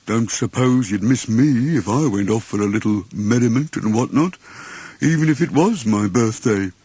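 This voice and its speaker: A man voicing a gloomy, self-pitying old donkey in a storybook, slow and drawn-out in a martyred, resigned way. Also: medium pitch, expressive, mellow, slightly rough, no echo. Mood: grumbling.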